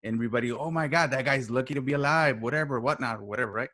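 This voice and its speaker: Man, medium pitch, podcast guest, casual storytelling, halting delivery, repeated phrases, acted-out dialogue. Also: a bit bright. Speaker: podcast guest, writer and voice actor.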